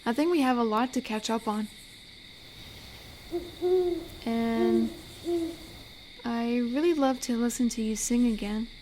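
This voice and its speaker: A fairly high female voice, gentle and teasing, plays a tender werewolf who protects a forest acted by a woman. She talks softly and closely to the listener in a roleplay audio drama. Faint cricket ambience sits behind her. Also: normal speed, a little hiss or hum, lightly acted. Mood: playful, hopeful. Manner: gentle, teasing, soft-spoken.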